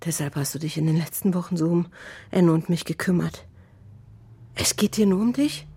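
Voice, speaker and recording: Husky, mellow, protective aunt. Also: female voice, fairly low pitch, fairly quick. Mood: angry, commanding. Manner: direct, commanding when crossed. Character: firm, protective, anxious aunt standing her ground. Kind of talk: German radio crime drama acting.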